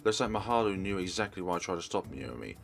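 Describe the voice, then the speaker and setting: A man's voice: deep voice, sing-song, fast talker, vocal fry. A man hosting a let's play, reading visual novel dialogue and narration aloud in character. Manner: reads in character, shifting between parts. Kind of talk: dramatic read-aloud of visual novel text. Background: soft game music.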